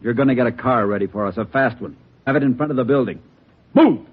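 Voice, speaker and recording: An actor playing a volatile, tough-talking criminal bomber who barks slangy orders and threats in a vintage radio crime drama. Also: male voice, mid-range voice, quick pace, slightly rough, lightly acted. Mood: commanding. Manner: menacing, slangy, barking orders. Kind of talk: old-time radio crime drama acting. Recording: muffled, dull vintage radio recording.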